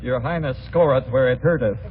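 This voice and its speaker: A man's voice: medium pitch, a little fast. An American man hosting a 1940s radio variety show with playful scripted banter. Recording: old radio broadcast, narrow band, noisy.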